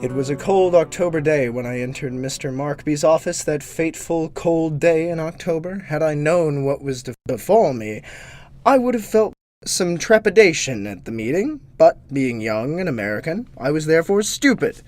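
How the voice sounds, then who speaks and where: Actor as naive, animated young man, melodramatic. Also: on the high side, normal speed, soft background music, close to an everyday voice. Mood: ominous, melodramatic. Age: young adult. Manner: melodramatic, earnest, hesitant with superiors. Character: naive young American man telling his gothic misadventure. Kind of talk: theatrical first-person audio drama narration and dialogue.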